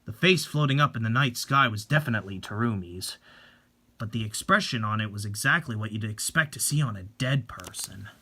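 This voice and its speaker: Husky young man reading horror dramatically. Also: lowish voice, animated, a little fast, pushed and bright. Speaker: amateur dramatic reader. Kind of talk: dramatic horror story narration.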